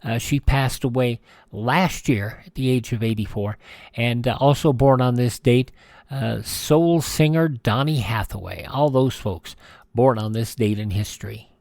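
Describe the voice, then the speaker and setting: An older American man hosting a radio show, chatting casually through dates and birthdays with rambling, folksy asides and hesitant pauses. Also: medium pitch, creaky, a little mellow, dry recording, clean studio sound. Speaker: radio host.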